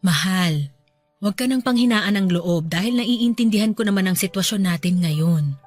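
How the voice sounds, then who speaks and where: A woman playing a gentle, caring middle-aged wife and mother in a radio drama, speaking affectionately and soothingly. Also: mid-range voice, moderate pace, close to an everyday voice. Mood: reassuring. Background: soft music bed.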